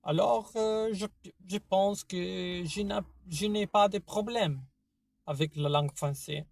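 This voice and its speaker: A man with an Italian accent talking to his viewers in a casual monologue, halting often with fillers and restarts. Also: high voice, vocal fry, darkish tone, no echo. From Italy. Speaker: vlogger. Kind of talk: casual opinion monologue to camera.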